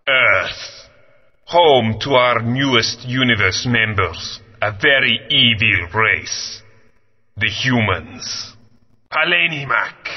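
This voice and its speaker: A man voicing a grandiose male alien conqueror who slowly declaims threats of war in a video game. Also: mid-range voice, breathy edge, full character voice. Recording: muffled audio with long reverb.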